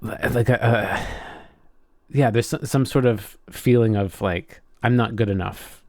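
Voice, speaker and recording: A man's voice: medium pitch, darkish tone, no echo. A man co-hosting a podcast in casual conversation, often stopping and restarting his sentences as he thinks aloud. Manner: halting, frequent self-interruptions. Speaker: podcast co-host.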